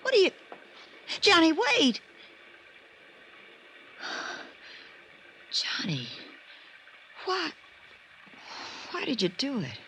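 A woman's voice: high voice, huge pitch swings, extremely slow, pauses often, a bit bright, slight background noise, heavily acted, low-quality recording. An actress playing a bored, bitter and provocative woman in an old time radio mystery, with an arch, sardonic manner. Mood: breathless, shaken. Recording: vintage radio recording, faint music.

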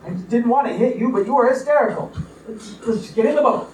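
A woman's voice: fairly high pitch, fairly quick, a little mellow, lightly acted. A woman acting an exasperated, wisecracking young man in a theatrical live radio play. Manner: theatrical, protesting. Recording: roomy, distant, faintly noisy stage recording.

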